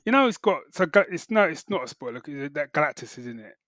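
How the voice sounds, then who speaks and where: A middle-aged British man chatting casually as a podcast co-host, halting and correcting himself as he goes. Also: fairly high pitch, sing-song, fairly bright tone, no echo, studio quality. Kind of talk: casual chat, geek reviews.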